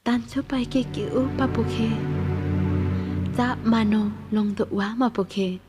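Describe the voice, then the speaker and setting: A woman's voice: on the high side, steady tone, measured pace, close to an everyday voice. Woman voicing a poetic film narrator over music. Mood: solemn, poetic. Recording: film clip with music underneath.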